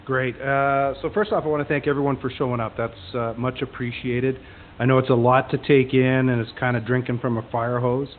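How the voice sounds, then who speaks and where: A man's voice: mid-range voice, little pitch movement, brisk. A man speaking casually and in a friendly, informal way as he takes questions on a live webinar call. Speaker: co-presenter taking questions. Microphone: phone or web conference call. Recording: tinny phone line with clear noise.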